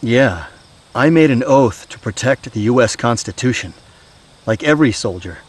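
An actor playing a weary, haunted middle-aged soldier and gentle father in an audio drama, halting and confiding. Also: mid-range voice, close to an everyday voice. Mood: earnest, confiding. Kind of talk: naturalistic audio drama acting. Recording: steady outdoor night-insect noise.

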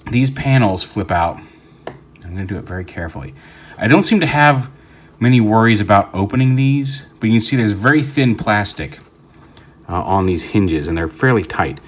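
A man's voice: on the low side, slight background noise. A man talking casually through a hands-on toy review, rambling with fillers and restarts, recorded indoors at a tabletop.

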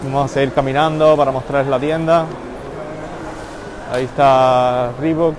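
A man narrates a live walk through a packed indoor mall, greeting viewers by name as he goes. His voice sits mid-range and is captured on a handheld phone. Steady crowd and mall noise stays clearly audible behind him. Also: mid-range voice, normal speed. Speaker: livestream host. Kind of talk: live walking tour commentary. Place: busy indoor shopping mall. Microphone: handheld phone camera. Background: crowd and mall ambience.

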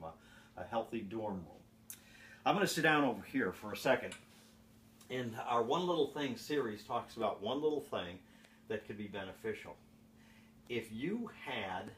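A man in his sixties giving an informal sales presentation to camera in a deliberate, questioning manner. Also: on the low side. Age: 60s. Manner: deliberate, with repeated rhetorical questions. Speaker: presenter pitching home health products. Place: home office, indoors. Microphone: camera mic from across the room. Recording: echoey, distant camera mic with background hiss.

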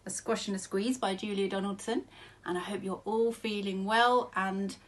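A woman's voice: medium pitch, fairly even intonation, moderate pace. A woman, reading a children's story aloud expressively, like a primary school teacher. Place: small furnished room at home.

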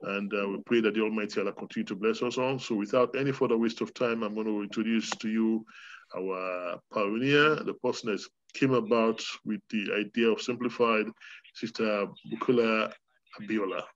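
A middle-aged man, speaking earnestly and haltingly as he opens an online lecture and gives thanks. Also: lowish voice, fairly even intonation, muffled recording. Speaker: charity team member and host. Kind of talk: opening remarks at an online lecture. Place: room at home. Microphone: laptop webcam on a video call.

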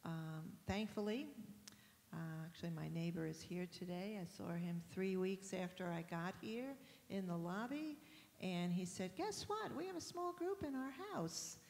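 Older New woman, gravelly voice. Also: lowish voice, darkish tone. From New York. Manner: earnest, halting with fillers. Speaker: women's group leader. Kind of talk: church panel discussion. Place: church stage, large hall. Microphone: handheld mic. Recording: reverberant hall with faint hiss.

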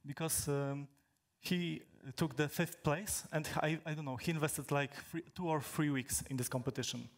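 A young man from Poland, a native Polish speaker, giving a technical talk on stage in a large hall, halting with many fillers and restarts. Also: on the high side, fairly even intonation. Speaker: conference speaker.

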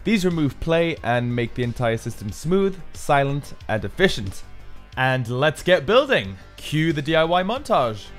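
A man's voice: fairly high pitch, big pitch swings, unhurried. A man giving a casual, explanatory how-to narration as a maker presenting his build. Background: background music.

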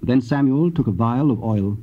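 A man narrating dramatized scripture in a formal, measured storytelling manner. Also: mid-range voice. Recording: dull old cassette, faint noise.

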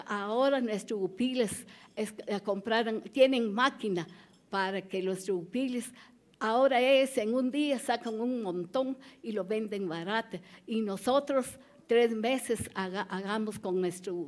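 A woman in her seventies with a Guatemalan accent gives formal testimony. She speaks plainly, at a middling pitch, as a leader addressing a hearing. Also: darkish tone. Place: conference hall hearing room. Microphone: gooseneck table microphone.

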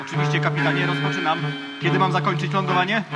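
A man's voice: high voice, fairly even intonation, quick pace, natural voice. A man playing an eager, naive and timid junior space officer in a children's audio play, hesitant and blustering. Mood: dutiful. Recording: music under the voice.

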